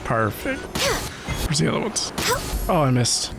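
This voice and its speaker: A game streamer giving casual live commentary, excitable and playful, with chatty asides. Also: medium pitch, measured pace, vocal fry, over music. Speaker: livestreamer playing a video game. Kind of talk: casual livestream commentary and chat.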